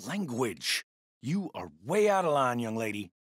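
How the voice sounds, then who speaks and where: A middle-aged American military stepfather in a game. He lectures sternly in a husky, gruff voice that swings widely in pitch. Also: big pitch swings, unhurried, dry recording, studio quality. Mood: stern, menacing. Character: stern, gruff ex-army stepfather with wounded authority. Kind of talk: video game voice acting.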